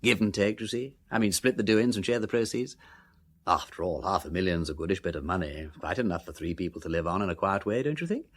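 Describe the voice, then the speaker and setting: An actor playing an aristocratic English gentleman detective in a radio drama, with a husky voice, an affected chatty drawl and an upper-class English accent. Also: low voice, animated, fast talker. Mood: breezy, affable. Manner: affected silly-ass drawl with tag questions. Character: aristocratic gentleman sleuth, breezy, chatty, shrewd underneath.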